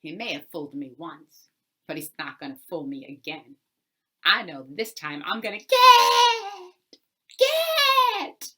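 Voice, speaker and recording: A woman's voice: medium pitch, sing-song, takes it slowly, voice pushed up, heavily acted. A woman storyteller voicing a greedy, scheming male farm landowner in a folk tale, bossy and given to spluttering bluster. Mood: gloating. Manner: loud, bossy, spluttering when thwarted.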